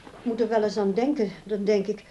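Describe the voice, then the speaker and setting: An actress playing a controlling grown daughter in a radio drama, speaking in a brisk, formal, placating way. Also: female voice, medium pitch, fairly even intonation, dark tone, lo-fi sound, slight background noise, natural voice. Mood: concerned. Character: polite but evasive, controlling adult daughter.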